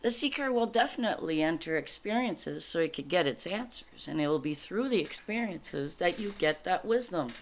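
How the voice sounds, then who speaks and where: A woman with a fairly low voice, a native French speaker, talks through a spiritual card reading. She moves between reading aloud and informal commentary, reflecting as she goes in short, halting phrases. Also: expressive.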